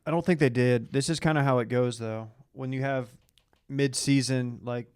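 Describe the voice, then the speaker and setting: A man's voice: mid-range voice, fairly even intonation, moderate pace, a crowd in the background. A man chatting casually and giving his opinions about a reality TV show.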